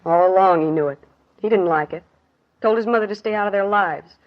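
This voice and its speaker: A woman's voice: medium pitch, fairly quick, natural voice. Actress for grieving working-class woman witness, 1950s radio drama, plain clipped delivery, muffled vintage sound. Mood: bitter, sad. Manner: plain clipped sentences, colloquial grammar. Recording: dull 1950s radio recording.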